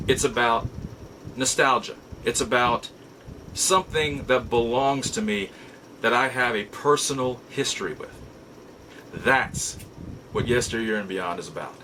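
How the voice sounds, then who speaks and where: A middle-aged man hosting a show, telling nostalgic stories casually in a halting, reflective way with emphatic repeats. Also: medium pitch, sharp tone, slight background noise, rough recording. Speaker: show host. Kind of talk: casual nostalgic storytelling to viewers.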